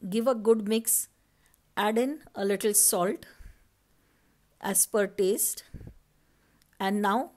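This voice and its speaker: Slow, measured woman cooking host. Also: mid-range voice, darkish tone. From India. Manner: slow, step-by-step instructing. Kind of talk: recipe voice-over narration.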